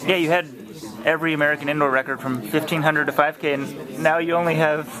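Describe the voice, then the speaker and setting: Fast-talking interviewer over crowd noise. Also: male voice, fairly high pitch, fairly even intonation, low-quality recording. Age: 30s. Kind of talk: interview questions.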